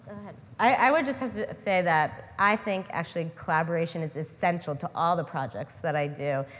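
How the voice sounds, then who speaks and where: A woman in her thirties speaks earnestly and with energy on a panel, gesturing as she goes. She uses a lapel mic on a large auditorium stage. Her voice is mid-pitched with a long hall reverb. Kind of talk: panel discussion.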